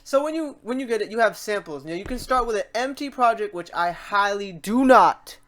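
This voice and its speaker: High-voiced man, chatty rambling tutorial host. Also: expressive, brisk, fairly bright tone. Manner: informal, chatty and rambling, stumbles and repeats words. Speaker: host of a software tutorial series. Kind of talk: casual screen-recorded software tutorial, talking off the cuff. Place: voice-over to a screen recording.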